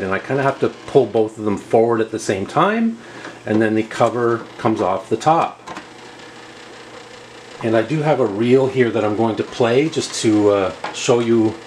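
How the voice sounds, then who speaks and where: A man narrates a hands-on product demonstration in a methodical, explanatory way. His pitch sits in the middle range for a man, and some noise runs behind his casual delivery. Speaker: host reviewing vintage audio equipment. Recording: clear background noise.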